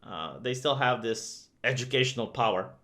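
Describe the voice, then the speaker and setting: Guy ranting on his channel, bright sharp voice, lots of uhs and ums. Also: mid-range voice, lively intonation, moderate pace. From Romania. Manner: conversational and opinionated, many fillers. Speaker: video commentator. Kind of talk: opinionated commentary vlog.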